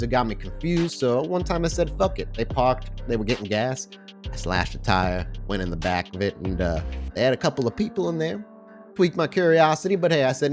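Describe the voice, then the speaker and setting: A man voicing a grumbling, streetwise male vampire henchman in a tabletop game, rambling in a gruff, folksy way. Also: medium pitch, close to an everyday voice. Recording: music bed under voice.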